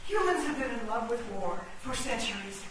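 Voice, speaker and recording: A woman as a high-voiced, commanding chess-piece leader. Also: big pitch swings, moderate pace, fairly bright tone. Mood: idealistic, solemn. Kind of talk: theatrical radio play acting. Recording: echoey, distant, noisy stage recording.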